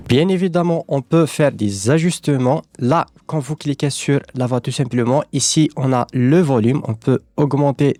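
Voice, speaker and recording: A man presenting a software tutorial, explaining things step by step. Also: a little high, dry recording.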